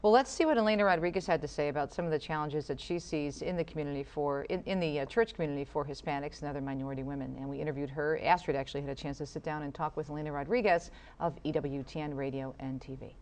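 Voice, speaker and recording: A woman co-hosting a talk show, briskly steering the conversation and introducing segments. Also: low voice, darkish tone. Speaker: co-host.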